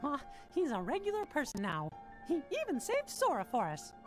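An actor voicing a small, kindly, brave mouse king in a squeaky falsetto, gentle and earnest, for a video game. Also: huge pitch swings, moderate pace, over music, heavily acted. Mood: earnest, determined. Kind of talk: video game cutscene acting.

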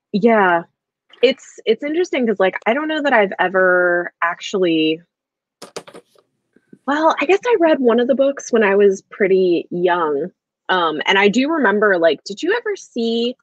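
A woman's voice: mid-range voice, animated, unhurried, pauses often, no room sound. A woman in her thirties hosting a casual book chat, talking in a hesitant, rambling way peppered with "like". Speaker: book discussion host. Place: small room at home, video call. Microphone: earbuds on a video call. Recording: dull video-call audio.

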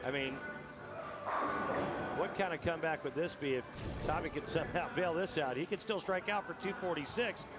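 A man analyzing bowling shots for a TV broadcast, talking through technique in long explanations with a slightly raised pitch. Clear arena background noise sits behind him. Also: moderate pace, pauses often. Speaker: color commentator. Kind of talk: sports color commentary.

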